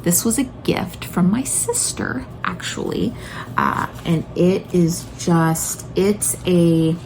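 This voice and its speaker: Low-voiced, creaky woman chatting enthusiastically. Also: expressive, slow pace, background noise. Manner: enthusiastic, gushing. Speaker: homeschooling video blogger and mother. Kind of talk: casual chatty book recommendation vlog.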